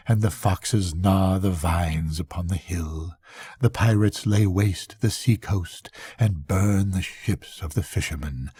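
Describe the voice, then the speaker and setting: A man acts out an old bishop from a storybook, weary of the world and solemn. His speech is slow and archaic, full of grave questions. The voice is low and husky. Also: dark tone, full character voice. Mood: weary, sorrowful.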